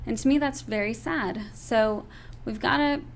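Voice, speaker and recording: A woman's voice: a little high, measured pace, gravelly, a little hiss or hum. A young woman, raised in Turkey, giving an interview and laying out her views point by point. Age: young adult. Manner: earnest, lays out points in order. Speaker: interview guest. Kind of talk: earnest political interview.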